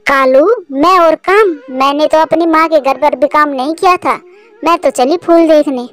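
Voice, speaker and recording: A woman's voice: high voice, normal speed, fairly bright tone. A woman voicing a lazy, scheming female bird in a children's cartoon. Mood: haughty. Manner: self-indulgent, conniving. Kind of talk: Hindi children's cartoon voice acting.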